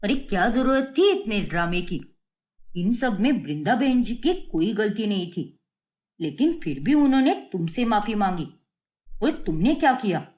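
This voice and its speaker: A woman's voice: mid-range voice, very animated, measured pace. A woman voicing a stern, exasperated older husband in a cartoon story, scolding as he talks. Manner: scolding, forceful wording.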